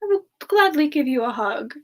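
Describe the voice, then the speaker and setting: A woman voicing a gentle, affectionate character in a soft-spoken semi-ASMR chat with viewers. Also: high voice, sing-song, normal speed. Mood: affectionate, relaxed. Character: Frostbyte, gentle affectionate character who loves hugs. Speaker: live stream host.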